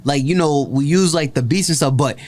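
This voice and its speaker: A man's voice: a little high, fairly quick, darkish tone. A man hosting a casual reaction chat, rambling and filling his speech with "like" and "yo". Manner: rambling, heavy with "like" and "yo", restarts often. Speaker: host.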